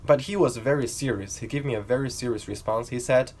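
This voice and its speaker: A man's voice: mid-range voice, a little fast, a little mellow, a little hiss or hum. A young man from China telling his own learning story to camera in an earnest, reflective way. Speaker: vlogger.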